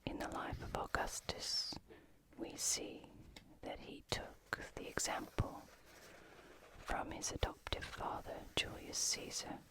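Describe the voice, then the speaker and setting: An ASMR host whispering as they read aloud and explain in a scholarly, deliberate manner. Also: poor audio.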